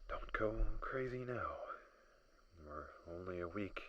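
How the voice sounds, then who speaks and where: Guy doing a stranded space survivor losing it, really deep slow halting mutter, paranoid, horror audio drama. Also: animated, a bit bright, lo-fi sound, exaggerated voice. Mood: anxious muttering. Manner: halting, muttering, often dropping into whispers.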